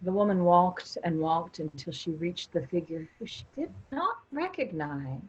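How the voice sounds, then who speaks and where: A woman playing a determined, grieving traveller in the land of the dead, in an earnest, deliberate staged reading. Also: on the low side, lively intonation, breathy edge, lo-fi sound. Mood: solemn. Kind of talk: staged reading with dramatic narration.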